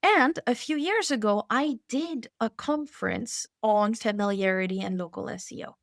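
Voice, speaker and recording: A woman's voice: mid-range voice, normal speed, vocal fry, no echo, studio quality. A woman from Hawaii, an expert guest in an interview, telling her points emphatically and with animation. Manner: animated, emphatic storyteller who laughs between points. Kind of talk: conversational interview.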